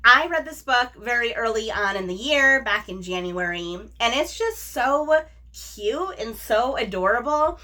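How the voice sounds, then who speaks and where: A woman with a fairly high, very bright voice hosts a casual review vlog. She speaks informally and effusively, leaning hard on key words and dropping in slangy asides. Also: lively intonation, unhurried. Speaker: book review vlogger, host. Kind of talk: casual book chat to camera.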